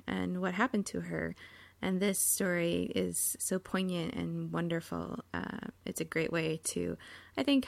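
A woman's voice: lowish voice, vocal fry, no echo. A woman hosting a podcast, giving a casual introduction in a chatty, informal way. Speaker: podcast host.

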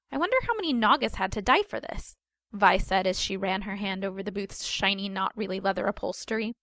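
A woman's voice: medium pitch, fairly bright tone, no echo, studio quality. A woman reading a story aloud as a scripted narrator. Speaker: fan fiction reader.

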